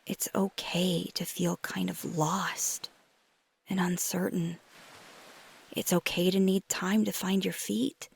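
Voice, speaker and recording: A woman playing a caring, flirty female vampire lover in an intimate ASMR roleplay, speaking tenderly and reassuringly in a breathy, husky half-whisper. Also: fairly low pitch, little pitch movement, takes it slowly, heavily acted. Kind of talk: ASMR roleplay, intimate one-sided dialogue.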